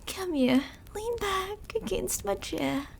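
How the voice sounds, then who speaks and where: An ancient female vampire in an intimate ASMR roleplay. Her voice sits extremely high and she draws her words out slowly. She is seductive, possessive and cruel, giving orders in a coaxing, controlling way. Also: lively intonation, gravelly, a little hiss or hum, full character voice. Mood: possessive, soothing. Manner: slow, commanding, coaxing, with whispered endings.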